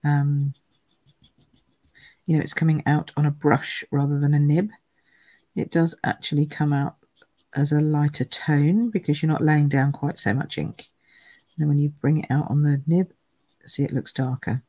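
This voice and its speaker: A woman with a croaky voice, chatting through a live card-making demonstration at her craft table, greeting viewers by name as she stamps and colours. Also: sits low, steady tone, normal speed, long pauses, mellow, no room sound. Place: home craft room.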